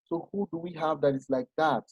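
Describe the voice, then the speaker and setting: Husky-voiced man teaching. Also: on the high side, fairly quick, no echo, rough recording. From Nigeria. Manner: animated, explanatory, with frequent restarts. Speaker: masterclass speaker. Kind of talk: informal talk at a masterclass.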